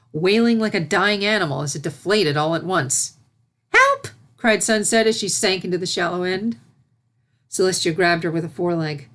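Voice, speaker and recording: Woman reading a story out loud, kinda low voice, narrator style.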